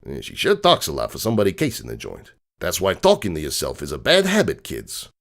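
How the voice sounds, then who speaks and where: A man playing a gruff, streetwise crook horse uncle who tells bedtime stories in a slangy, cynical, digressing way. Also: low voice, wildly swooping pitch, a little fast, fairly bright tone, exaggerated voice. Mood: wry, matter-of-fact. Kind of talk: dramatic reading of a comic bedtime story. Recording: music bed behind the voice.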